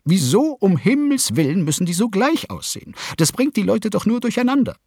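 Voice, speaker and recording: A man's voice: high-pitched, big pitch swings, brisk, darkish tone, slightly rough, dry recording, clean studio sound, pitched above the performer's own voice, heavily acted. A man voicing a cold, self-absorbed mother who talks in a fussy, disdainful way.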